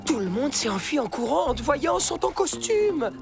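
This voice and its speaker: A woman's voice: sits high, sing-song, normal speed, creaky, over music, full character voice. A woman voicing a sensible, bossy male fox who scolds in a matter-of-fact way in a cartoon. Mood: exasperated. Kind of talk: cartoon voice acting.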